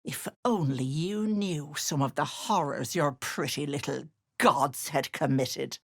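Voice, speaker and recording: A woman's voice: deep voice, takes it slowly, no room sound, studio quality, heavily acted. An actress voicing an older, scheming auntie witch in a video game, with a honeyed, mocking way of talking. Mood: bitter. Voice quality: honeyed, mocking, sly endearments. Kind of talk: game voice lines.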